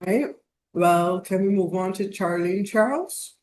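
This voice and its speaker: Slow-speaking woman hosting a video call. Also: mid-range voice, expressive, a little mellow, slight background noise. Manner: slow, stumbles over names, apologetic. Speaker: host. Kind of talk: online meeting roll call.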